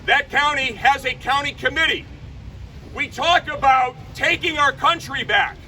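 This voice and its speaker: A man giving a fiery political rally speech outdoors, loud and punchy, shouting his slogans. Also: very high-pitched, unhurried, distant mic, slight background noise, poor audio. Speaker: rally speaker. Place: outdoor rally by a state capitol.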